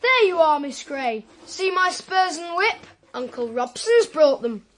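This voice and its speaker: A woman playing a spoilt, boastful little English boy in a period audio drama, bossy, excitable and cruel. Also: high-pitched, a little slow, a little hiss or hum, exaggerated voice.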